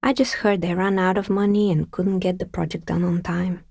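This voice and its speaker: An actress playing a wry, pragmatic woman dicemaker in a video game, speaking in a measured, matter-of-fact way with a husky voice. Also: lowish voice, fairly even intonation, a little mellow, no echo, clean studio sound. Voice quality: husky, breathy with some creak. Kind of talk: video game character dialogue.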